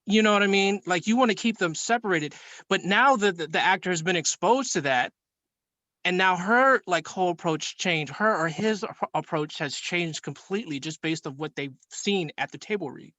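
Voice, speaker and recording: A man's voice: high voice, lively intonation, moderate pace, bright, forceful tone, no echo. A man in an informal class discussion, explaining his opinion emphatically and rephrasing his points as he goes. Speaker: participant in a film class group meeting.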